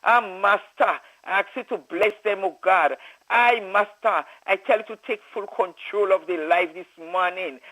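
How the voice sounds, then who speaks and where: A man's voice: sits high, a little slow, bright, forceful tone, poor audio. A man leading a fervent spoken prayer, calling out "oh God, Father" between his phrases. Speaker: prayer leader.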